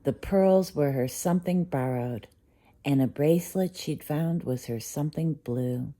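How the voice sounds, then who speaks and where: A woman reads a children's bedtime story aloud. Her voice is low and soft-edged, mellow in tone, with an expressive storytelling style. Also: a little slow. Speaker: storybook reader.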